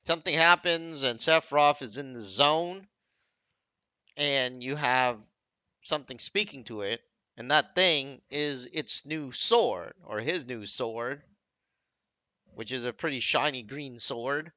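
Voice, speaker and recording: A man's voice: mid-range voice, takes it slowly, sharp tone, no room sound. A man giving casual, off-the-cuff commentary on game cutscenes, explaining conversationally with rambling asides.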